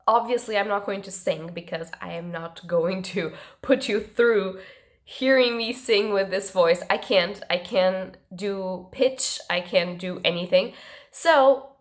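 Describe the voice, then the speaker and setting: A woman vlogging about books in a casual, rambling, self-mocking way, her voice congested and coming through her nose from allergies. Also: mid-range voice. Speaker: book vlogger. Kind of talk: casual chat to camera.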